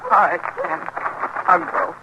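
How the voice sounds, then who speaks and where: A woman's voice: slow pace, natural voice. A woman playing a meek, frightened frontier wife in a radio western, hesitant and pleading in rustic grammar. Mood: submissive. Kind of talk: old-time radio western acting. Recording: dull, noisy old radio recording.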